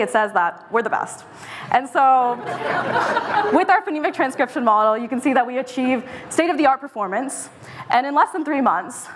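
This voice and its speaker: Confident young woman pitching on stage. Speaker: startup presenter. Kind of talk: scripted pitch presentation. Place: stage in a mid-sized event room.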